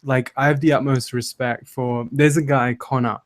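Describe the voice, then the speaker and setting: A man's voice: medium pitch, steady tone, normal speed. A man from Australia with an Australian accent, a voice actor chatting and teasing in a casual online hangout, laughing often.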